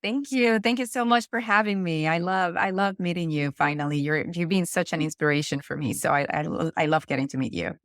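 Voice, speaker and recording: A woman's voice: lowish voice, brisk, few pauses, dry recording, studio quality. A middle-aged woman from Venezuela with a Venezuelan Spanish accent, talking earnestly as a podcast guest and often restarting her phrases. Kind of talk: conversational interview.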